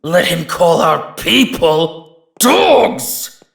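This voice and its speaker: Echoing wolf spirit, gravelly, fierce, resentful, accusing, fantasy audio drama. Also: male voice, fairly high pitch, sing-song, drawn-out words, a bit bright, heavily acted. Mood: bitter, accusing. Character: fierce, resentful inner wolf, proud of its pack. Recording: echoing, reverberant voice effect.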